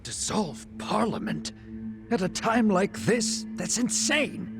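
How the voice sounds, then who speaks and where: A man's voice: sits high, sing-song, slow, music in the background, full character voice. An actor playing a male prime minister who is wary and easily flustered. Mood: shocked.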